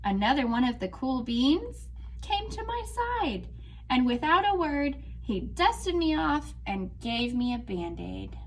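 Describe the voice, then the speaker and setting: Woman teacher, read-aloud storytime, slow and animated delivery. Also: high voice, slight background noise, poor audio. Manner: slow, expressive storybook reading to children.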